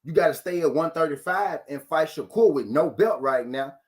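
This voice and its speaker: A man's voice: fairly high pitch, expressive, normal speed, a little mellow. A man hosting a live boxing talk show, arguing his point with rhetorical questions, repeating himself and greeting viewers from the chat.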